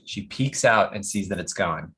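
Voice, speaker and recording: A man reading scripted stage directions at an animated comedy table read, telling the action with amused storytelling flair. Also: deep voice, moderate pace.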